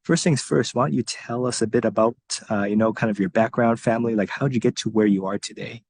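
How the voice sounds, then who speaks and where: A man interviewing a young athlete, asking questions in a relaxed, friendly way. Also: medium pitch, fast talker, slight background noise.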